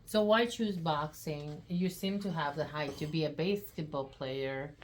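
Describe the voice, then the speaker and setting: A woman hosting a podcast, asking interview questions in a polite, steady way. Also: low-pitched, measured pace, a little hiss or hum. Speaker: podcast host.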